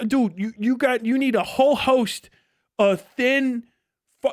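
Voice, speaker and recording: High-pitched, foul-mouthed man ranting. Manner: profane, sarcastic; animated, acts out imagined dialogue. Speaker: livestream host. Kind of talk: casual ranting livestream commentary.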